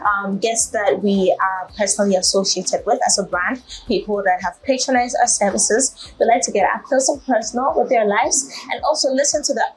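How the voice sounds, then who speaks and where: A woman's voice: medium pitch, normal speed, few pauses, a little hiss or hum, poor audio. A woman hosting an interview show, eager and effusive, with frequent affirming interjections. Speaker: interview host. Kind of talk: conversational interview.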